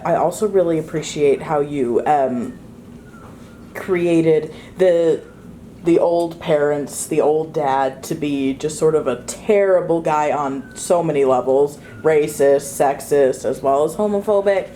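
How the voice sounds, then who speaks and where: A woman's voice: sits low, slow pace, warm tone, a little hiss or hum. A woman sharing informal spoken feedback with a group. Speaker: former cast member giving feedback. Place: indoor gathering in a home.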